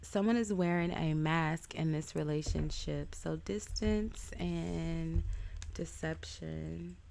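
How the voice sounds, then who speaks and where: A woman giving a casual tarot reading, stuffed up with a cold, talking in a chatty, halting way with many ums. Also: deep voice, gravelly, darkish tone, a little hiss or hum. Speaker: tarot reader.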